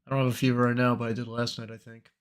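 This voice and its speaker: A young man with a cold, slightly hoarse, chatting in a relaxed, rambling way as host of a trading livestream. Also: mid-range voice, very fast. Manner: relaxed, rambling, many fillers. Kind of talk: casual livestream chat.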